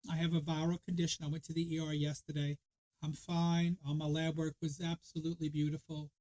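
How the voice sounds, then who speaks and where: A man hosting a casual spiritual podcast, hoarse and losing his voice, talking in a chatty, rambling way. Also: on the high side, little pitch movement, a little fast, dark tone, poor audio. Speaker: podcast host.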